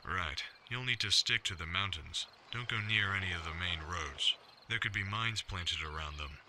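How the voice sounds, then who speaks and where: Older military commander, low voice, very sharp and forceful, paternal, authoritative, audio drama. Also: male voice, moderate pace, a little hiss or hum. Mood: steady, concerned. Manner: authoritative, reassuring.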